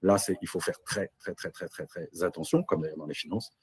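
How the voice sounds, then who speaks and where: A man's voice: low-pitched, steady tone, fairly quick, slightly rough. A Belgian-born man who works as an AI trainer, giving a webinar presentation over a video call with a lot of hesitations and restarts. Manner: hesitant, many fillers and restarts.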